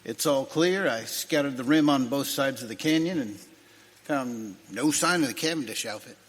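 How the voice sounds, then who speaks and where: An actor playing a shifty scout in a western radio drama, with a husky voice and a halting manner. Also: male voice, medium pitch, natural voice. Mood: hesitant, shifty. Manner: hesitant, halting. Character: shifty male scout telling lies. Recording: long hall reverb.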